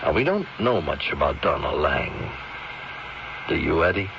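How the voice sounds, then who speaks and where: Very deep, gravelly, probing doctor. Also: male voice, very animated, unhurried, exaggerated voice. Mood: suspicious, investigating. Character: suspicious male doctor, old family friend, firm and probing. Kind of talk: 1970s radio drama acting. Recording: muffled, hissy 1970s radio broadcast.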